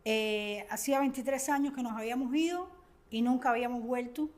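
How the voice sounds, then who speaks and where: An older woman from Cuba with a Cuban Spanish accent, talking earnestly and reflectively as an interview guest, often pausing with hesitations. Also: a little high. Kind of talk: conversational interview.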